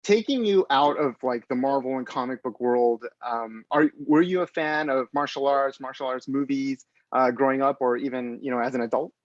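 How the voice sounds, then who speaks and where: A man's voice: medium pitch, moderate pace, few pauses, no room sound. Man with dry, dull-sounding audio. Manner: restarts and rephrases questions, enthusiastic. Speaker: interviewer. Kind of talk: casual interview.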